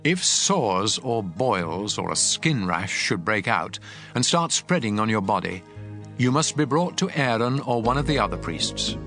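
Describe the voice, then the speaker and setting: A man playing a solemn, commanding God, reading scripture laws in a measured, emphatic way over music. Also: lowish voice, big pitch swings, a bit bright, full character voice. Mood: solemn, instructive. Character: God speaking law, solemn, commanding male deity.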